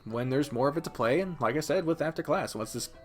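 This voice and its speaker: A man's voice: a little high, lively intonation, quick pace, darkish tone, soft background music. A man speaking as an irreverent, exclamatory reviewer in a casual commentary style, swearing freely. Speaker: video game reviewer and host of a ranking video.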